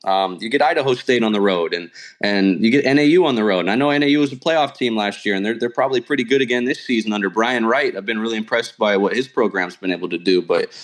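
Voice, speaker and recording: A man with a mid-range voice speaks as a guest on a sports show. He sounds like an announcer off duty, chatting casually while reasoning through his points in an analytical, conversational manner. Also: mid-range voice. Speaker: radio play-by-play sports announcer, podcast guest. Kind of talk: casual sports talk interview.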